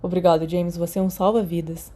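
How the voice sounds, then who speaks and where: A woman's voice: on the low side, level tone, a little fast, dark tone, lightly acted. A Brazilian woman voicing a reserved, weary but good-humoured teenage boy in a story. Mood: weary, grateful.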